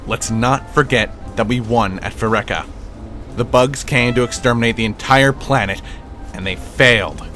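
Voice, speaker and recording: A man playing a level-headed military pilot in a sci-fi audio drama, measured and pragmatic. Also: mid-range voice, bright, forceful tone, faint music behind, natural voice. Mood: firm.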